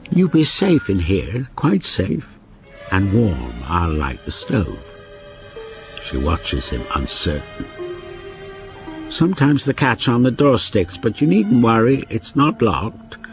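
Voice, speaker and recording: An actor playing a lonely, obsessive older man in a radio ghost story, with a brooding, deliberate delivery that repeats broken phrases. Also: low-pitched, heavily acted. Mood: menacing. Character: lonely, obsessive, sinister old railwayman ghost narrating his story. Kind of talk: radio drama monologue.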